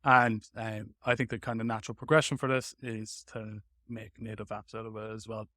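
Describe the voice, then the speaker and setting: A man hosting a casual tech podcast chat, with hesitant, halting phrasing. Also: fairly low pitch, level tone, sharp tone, no room sound, studio quality. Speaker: podcast host.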